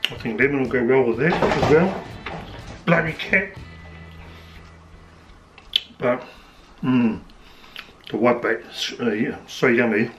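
Hoarse New Zealand man chatting while cooking. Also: mid-range voice, slow pace, pauses often, music in the background, poor audio. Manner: relaxed, chatty, thinking aloud with fillers. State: slightly hoarse, losing his voice. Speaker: home cook vlogger. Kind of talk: casual cooking commentary. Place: home kitchen, indoors.

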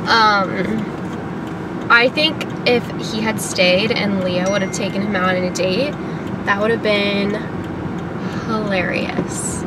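A young woman vlogging from behind the wheel in casual, rambling chat, full of fillers and asides. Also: mid-range voice. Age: young adult. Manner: chatty, rambling, lots of "like" and "um"; talking while driving. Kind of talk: casual car vlog chat. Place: inside a car, daytime. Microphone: dashboard camera mic. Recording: distant camera mic with loud road noise. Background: loud road and traffic noise.

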